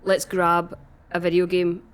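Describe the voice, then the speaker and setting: A woman's voice: on the low side, completely flat delivery, measured pace, clear, resonant tone. A woman co-hosting a podcast in casual, unscripted panel chat. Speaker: podcast co-host, arcade staff. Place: arcade shop, indoors.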